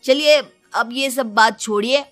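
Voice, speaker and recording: Cartoon teen son, played by a woman: slightly high pitch, lazy, spoiled, cheeky whiner. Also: measured pace, fairly bright tone, no room sound, faint music behind. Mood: demanding, flippant. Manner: whining, flippant. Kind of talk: Hindi cartoon moral-story acting.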